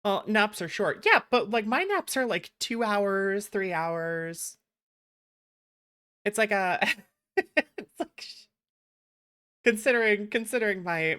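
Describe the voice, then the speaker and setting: A woman hosting a livestream, chatting casually in an animated, teasing way with some swearing. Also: medium pitch. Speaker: livestreamer, VTuber host.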